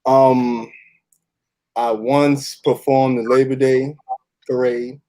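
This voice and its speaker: A man speaking casually as an interview guest, halting and repeating words as he gathers his thoughts. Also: medium pitch, flat delivery, dark tone, low-quality recording. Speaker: interview guest, cast member. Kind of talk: casual conversation.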